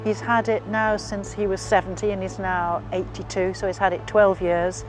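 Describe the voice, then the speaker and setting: An older woman with a mid-range voice. She answers interview questions briskly and enthusiastically, with soft music underneath. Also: medium pitch, flat delivery, low-quality recording. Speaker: supporting spouse.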